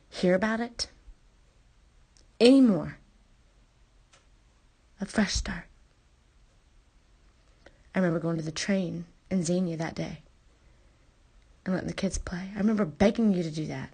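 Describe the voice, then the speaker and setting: A woman telling personal memories in an intimate, halting, confessional way, her breathy voice often thinning toward a near-whisper. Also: lowish voice, warm tone. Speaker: personal storyteller addressing a former partner. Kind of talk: intimate spoken memoir, unscripted.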